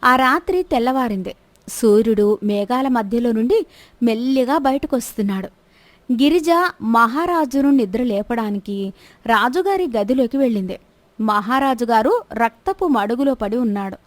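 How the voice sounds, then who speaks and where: High-pitched woman narrating a story. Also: animated, normal speed, no echo. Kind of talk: scripted story narration with acted dialogue.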